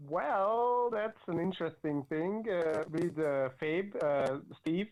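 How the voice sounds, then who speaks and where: Higher-voiced guy from hungary, slow and careful, some uhs. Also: a little mellow, poor audio. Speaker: comic creator guest.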